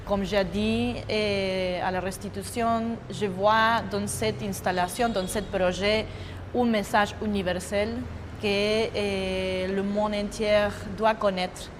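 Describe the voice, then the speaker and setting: A woman from Argentina, her first language Spanish, speaking slowly and stopping often to find her words as she describes her dance work. Her voice sits at an ordinary pitch. The recording has a long hall reverb and a faint ambient hum underneath. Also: fairly even intonation. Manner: halting, searching for words with many fillers. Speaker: choreographer. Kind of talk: interview testimony.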